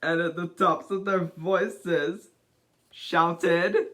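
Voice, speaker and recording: Slow-paced storybook reader. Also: medium pitch, steady tone, bright, forceful tone. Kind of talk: read-aloud children's storybook narration.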